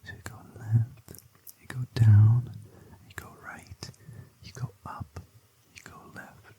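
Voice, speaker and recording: An ASMR creator whispering slow, gently encouraging instructions into a close binaural mic. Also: medium pitch, little pitch movement. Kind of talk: whispered ASMR focus test.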